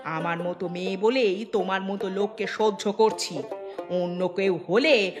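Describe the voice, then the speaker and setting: A woman playing a gluttonous, quarrelsome village wife in a cartoon, sharp-tongued and quick to take offence. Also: medium pitch, fairly even intonation, natural voice. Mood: indignant, scolding. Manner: scolding, indignant. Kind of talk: Bengali cartoon dialogue. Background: prominent background music.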